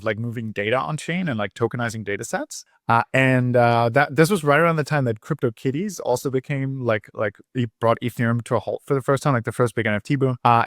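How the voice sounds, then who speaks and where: A man's voice: medium pitch, no room sound, studio quality. A man in his thirties from Switzerland, a podcast guest telling his story in a rambling way, full of fillers and restarts. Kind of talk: casual interview chat.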